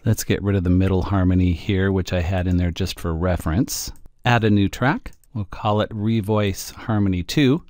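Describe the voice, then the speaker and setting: A man giving an informal, wry screencast tutorial, thinking aloud as he demonstrates audio software. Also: low-pitched, fairly even intonation, moderate pace, no echo, studio quality. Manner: informal, wry, self-deprecating. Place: indoor close-miked voice-over, dry.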